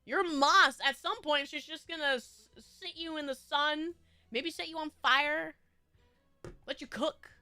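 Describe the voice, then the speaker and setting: A woman's voice: high-pitched, measured pace, sharp tone, no echo, faint music behind. A woman streamer with a stuffy nose, chatting casually with her viewers in a bubbly, rambling way with frequent giggles.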